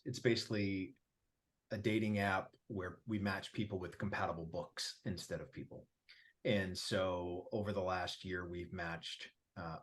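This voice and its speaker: A man with a very low voice talks through a relaxed group conversation. He is emphatic about his points and laughs easily. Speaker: featured guest, app founder. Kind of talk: casual conversational interview.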